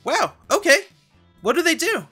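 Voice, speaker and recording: A woman voicing an excitable, easily exasperated young boy in a web comic dub, with a casual, exclamatory delivery. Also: extremely high pitch, normal speed, soft background music, heavily acted. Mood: excited, curious.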